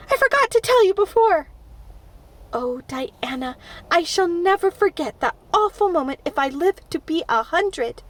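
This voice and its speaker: A woman's voice: high voice, no echo. A woman voicing a chatty, imaginative young girl who talks in breathless, dramatic gushes, in an audiobook. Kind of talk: audiobook character voice.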